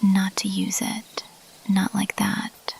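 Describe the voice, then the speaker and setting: A woman voicing a weary, guarded bat-winged monster girl in a halting, hushed, confessional ASMR roleplay whisper. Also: medium pitch, completely flat delivery, creaky, slight background noise. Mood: earnest.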